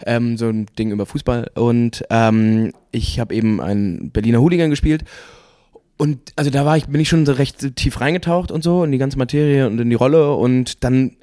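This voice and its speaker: A young man from Cologne, a guest actor in a relaxed podcast chat, talking loosely with lots of hesitant fillers and easy laughs. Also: medium pitch, moderate pace, no room sound, clean studio sound. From Cologne, Germany. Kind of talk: casual podcast interview.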